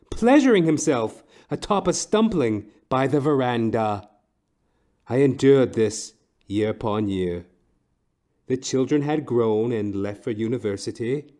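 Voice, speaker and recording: A man's voice: medium pitch, dark tone, close to an everyday voice. A man playing a bitter, haughty middle-aged wealthy wife with pompous, theatrical old-fashioned diction in a noir audio comedy.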